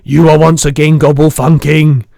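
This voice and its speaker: A man's voice: on the high side, flat delivery, normal speed, creaky, mellow, no echo, clean studio sound, pitched above the performer's own voice. A man voicing a big friendly giant from a storybook, blustering, muddled and good-natured, speaking emphatically in garbled childlike grammar. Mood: blustering, cross.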